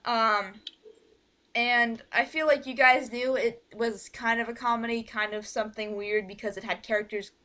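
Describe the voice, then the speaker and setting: A young woman with a fairly high, very bright voice hosts an informal online chat. She rambles in a giggly way and often cuts herself off to start again. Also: fairly even intonation, moderate pace. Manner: rambling, giggly, self-interrupting. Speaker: host of an online fan project meeting. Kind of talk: casual group call chat. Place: online group voice call.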